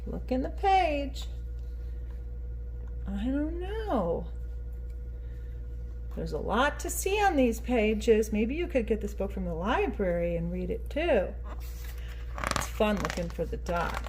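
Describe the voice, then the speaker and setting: Animated woman reading aloud to small children. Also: fairly high pitch, slow, long pauses, slight background noise, low-quality recording. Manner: teacherly, addressing small children, prompting them with questions. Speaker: children's read-aloud storyteller. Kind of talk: expressive picture book read-aloud with questions to young listeners.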